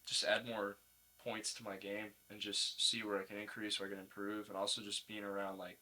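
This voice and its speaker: A young man with a slightly low, clearly bright voice. He talks like a college athlete in a casual interview. His phrasing is informal and easygoing. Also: monotone, brisk, rough recording. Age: young adult. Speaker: college baseball player, podcast guest.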